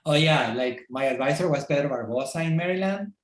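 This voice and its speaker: A middle-aged man from Peru with a Peruvian Spanish accent, talking thoughtfully as a podcast guest, pausing often on fillers. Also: a little high. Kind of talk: casual interview. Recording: roomy echo.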